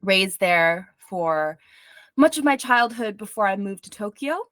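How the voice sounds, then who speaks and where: A woman in her thirties from Singapore, a music journalist talking as a guest in a casual interview, animated and chatty with lots of "like" fillers. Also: mid-range voice, unhurried, no room sound, studio quality.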